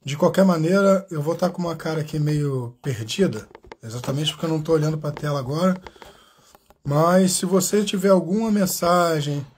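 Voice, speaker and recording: A man's voice: on the high side, normal speed, a little hiss or hum. A Brazilian man hosting a live interview stream, chatting casually, thanking viewers by name and often restarting his sentences. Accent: Brazilian Portuguese. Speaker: channel host.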